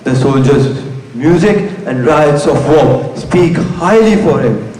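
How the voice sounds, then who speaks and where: A man's voice: fairly high pitch, animated, slow pace, a little mellow, slight background noise. A male actor playing a formal, commanding prince in stage Shakespeare, recorded from far off in an echoey hall. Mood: grave, commanding. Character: stately young conquering prince, formal and commanding.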